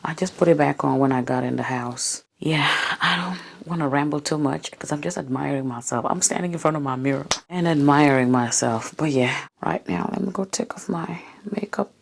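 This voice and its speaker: A tired woman vlogger talks casually to the camera in front of her mirror at home. Her voice sits low and is a little worn. She keeps sliding into soft, half-whispered asides. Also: moderate pace, vocal fry, hardly any pauses. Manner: chatty, drops into soft near-whispers.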